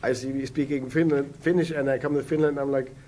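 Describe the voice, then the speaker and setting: A man's voice: mid-range voice, steady tone, quick pace, dark tone. A man in his forties, a native German speaker, telling his story as a podcast guest in a rambling way, with many fillers and restarts. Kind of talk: casual podcast conversation.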